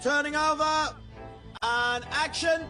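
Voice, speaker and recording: An actor playing a bossy man in a radio comedy-drama, calling out in drawn-out, raised tones. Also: very high voice, fairly even intonation, music in the background. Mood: loud, excited.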